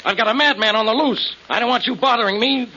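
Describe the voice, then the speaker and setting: A man's voice: very high-pitched, quick pace. An actor playing an exasperated, blustering policeman who barks irritably in a 1940s radio drama. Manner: irritable, barking, dismissive. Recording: noisy muffled 1940s radio recording.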